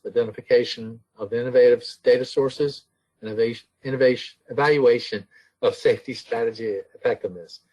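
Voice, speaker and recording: Male host, mid pitch, loose and halting, reads out announcements and a speaker intro. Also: a little mellow, poor audio. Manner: halting, with many fillers and restarts. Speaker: webinar host.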